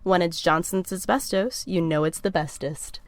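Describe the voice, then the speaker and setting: A woman playing a chipper sponsor pitchwoman in a parody of old radio ads, with polished salesmanship. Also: moderate pace, no echo, close to an everyday voice. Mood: chipper, selling. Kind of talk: parody vintage radio advertisement.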